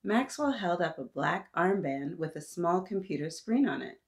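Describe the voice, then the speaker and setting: A woman hosting an interactive storytime, reading aloud to young children in an animated, encouraging way and talking directly to her little listeners. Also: fairly low pitch, moderate pace.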